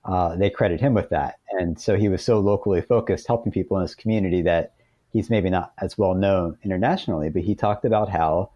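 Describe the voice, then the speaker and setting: A man's voice: low voice, fairly quick, warm tone, no room sound, lo-fi sound. A man speaking as a podcast guest, explaining ideas in a thoughtful, reflective interview style.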